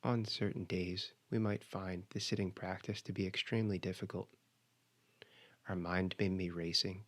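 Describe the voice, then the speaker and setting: A man leading a guided meditation, giving measured, instructional cues with pauses between phrases. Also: deep voice, little pitch movement.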